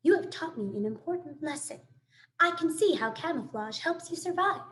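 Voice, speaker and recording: A woman voicing a calm, polite female robot with formal, measured phrasing in a children's story. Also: high voice, roomy sound.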